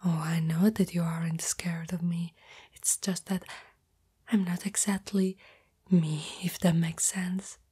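A woman plays a young spider-girl in love, shy and afraid of being rejected. Her voice is mostly whispered and very breathy, with a dark, mellow tone. She speaks slowly and hesitantly, as in an intimate ASMR girlfriend roleplay. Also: fairly low pitch, level tone. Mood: gentle, confiding. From Italy.

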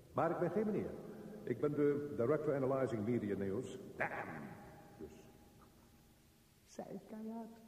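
An actor playing a self-absorbed media director talking to himself in a radio play monologue. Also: male voice, mid-range voice, warm tone. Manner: muttering, self-absorbed, with mocking outbursts. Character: brooding male media director muttering to himself in the dark. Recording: distant, echoey hall with long reverb.

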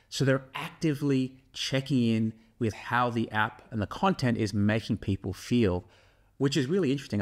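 Thoughtful middle-aged man, somewhat low voice. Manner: thoughtful, asks viewers questions directly. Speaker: host and neuroscience professor. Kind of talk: explainer talk to camera. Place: studio in front of a brick wall.

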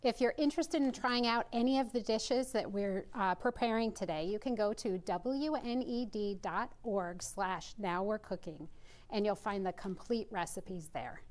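A woman in her forties presents a live cooking show from a studio kitchen. Her voice is medium in pitch, and her delivery is partly scripted. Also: normal speed, a little mellow.

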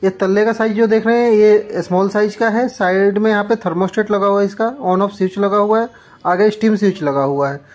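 Male product presenter, informal shop-demo delivery, plain and explanatory. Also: sits high. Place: small shop, indoors.

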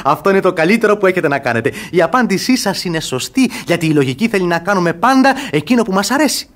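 An actor playing an ardent young gallant in a classical radio comedy, speaking in an effusive, courtly, theatrical way. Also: male voice, high-pitched, lively intonation, fairly bright tone. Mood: ingratiating.

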